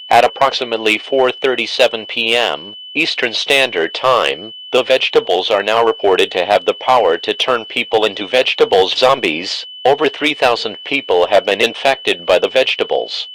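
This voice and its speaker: A formal male announcer reads a scripted emergency warning in a fairly low voice. The delivery is flat and synthetic, with faint humming noise like a radio broadcast. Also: low-quality recording.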